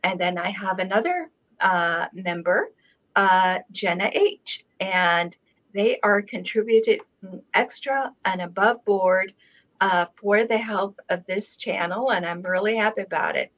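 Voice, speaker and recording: A woman who grew up in Israel with Hebrew as her first language, hosting a relaxed video call chat and speaking slowly with many pauses. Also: mid-range voice. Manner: unhurried, with many pauses and fillers. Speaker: channel host. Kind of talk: casual video call conversation. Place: home room with framed painting. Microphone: video call webcam. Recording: dull lo-fi video call audio.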